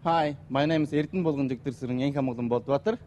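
A man from Mongolia introducing himself on camera, friendly and deliberate, into a handheld mic. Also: mid-range voice, mellow, dull audio, slight background noise.

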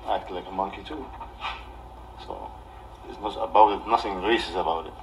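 A man in his thirties with an Egyptian accent, ranting off the cuff on a livestream in a defensive, halting, repetitive way. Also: sits low, gravelly. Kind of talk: off-the-cuff livestream rant to camera. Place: small living room indoors. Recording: distant mic with background noise.

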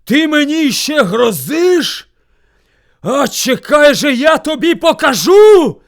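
A man's voice: high voice, expressive, normal speed, a bit bright, pitched above the performer's own voice. A man voicing a furious, hungry bear who bullies and threatens as lord of the forest in a folk tale. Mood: enraged. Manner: roaring, threatening, bellowing demands. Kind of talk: animated folk tale storytelling.

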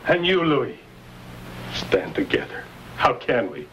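A man's voice: medium pitch, sing-song, slow pace, fairly bright tone. A man, playing a fearful, bitter village family man in a 1950s Western. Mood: fearful, challenging. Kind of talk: 1950s Western screen acting. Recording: dull, faintly noisy old film soundtrack.

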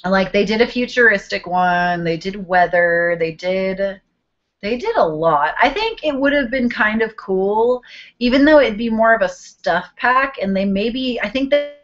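A woman's voice: medium pitch, lively intonation, unhurried. A woman in her twenties talks in a loose, chatty way, humming to herself as she hunts for the next viewer question. She is recorded on a webcam in a small bedroom. The audio is a little dull and lo-fi. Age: 20s. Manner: chatty and rambling, hums while searching. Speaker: vlogger answering viewer questions. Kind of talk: casual chat. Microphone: webcam video call.

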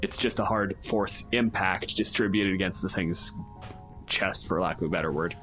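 A man's voice: low-pitched, normal speed, a bit bright, faint music behind. A man acting as game master, casually narrating a tabletop game with a sweary, teasing, informal manner.

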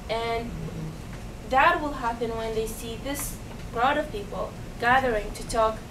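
Young Iraqi woman, English, earnest and halting, slightly high voice; distant camera audio in a noisy, roomy meeting room. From Iraq. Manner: earnest, halting, many restarts and fillers. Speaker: guest speaker. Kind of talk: unscripted talk to a meeting. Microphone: far camera.

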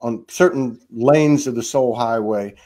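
A man's voice: medium pitch, measured pace, warm tone. Rambling fifty-something guy hosting a chill video call chat, lots of "you know, man", loose and easygoing. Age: 50s. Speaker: host. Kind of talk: casual spiritual chat. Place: home room, indoors.

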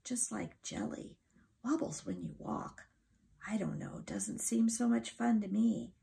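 Woman playing a whispery, hissing storybook dormouse, stern and gravelly. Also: mid-range voice, big pitch swings, a little slow, mellow, pitched above the performer's own voice, exaggerated voice. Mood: grumpy.

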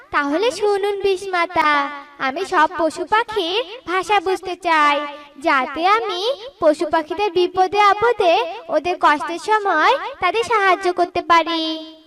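Woman acting gentle young cartoon forest woman, extremely high voice, slow, distant mic. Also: exaggerated voice. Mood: hopeful.

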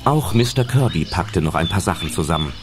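A male narrator tells a German audio drama from a script. His voice is low and very animated in pitch, laid over background music. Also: fairly quick.

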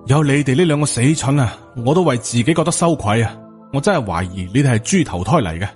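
A man's voice: mid-range voice, lively intonation, fast talker, a little mellow, faint music behind, lightly acted. A man with a Hong Kong Cantonese accent voicing a proud, indignant martial uncle in an audiobook, scolding with cold sarcasm. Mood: angry, scornful.

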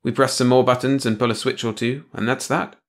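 A man's voice: medium pitch, steady tone, brisk, pitched above the performer's own voice, natural voice. A man voicing a weary, cynical male official who speaks with dry bitterness. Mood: bitter.

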